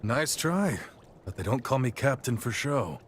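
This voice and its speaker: An actor playing an easygoing middle-aged warrior captain and encouraging mentor in a video game cutscene. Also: male voice, mid-range voice, very animated, unhurried, very noisy. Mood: playful, confident.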